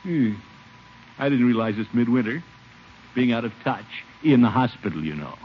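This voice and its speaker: An actor playing a pompous, fussy, blustering middle-aged uncle in a 1950s radio comedy. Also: male voice, medium pitch, sing-song, normal speed, lightly acted. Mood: huffy, self-important. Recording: muffled, hissy 1950s radio broadcast.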